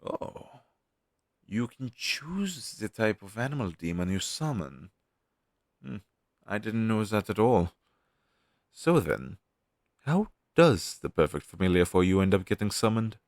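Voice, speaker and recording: A man's voice: lowish voice, very animated, measured pace, pauses often, a little mellow, no room sound, clean studio sound. A man playing a friendly demon crow familiar in a fantasy audio roleplay, talking to the listener in a conversational, reassuring, explanatory way with thoughtful hums. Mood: curious, friendly. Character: friendly male demon crow familiar advising a young witch.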